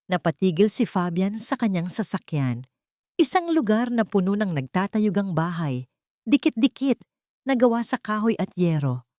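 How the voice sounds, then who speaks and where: A woman's voice: medium pitch, darkish tone, dry recording, studio quality. A woman story narrator reading a scripted first-person drama in a dramatic storytelling style.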